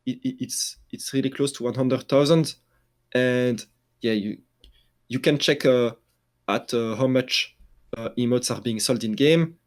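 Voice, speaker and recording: A man with a French accent discusses esports news in a relaxed podcast chat. He talks at an ordinary middle pitch and pauses often, restarting phrases and filling gaps with "uh". The tone stays loose and conversational. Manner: halting, many restarts and fillers. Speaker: esports journalist.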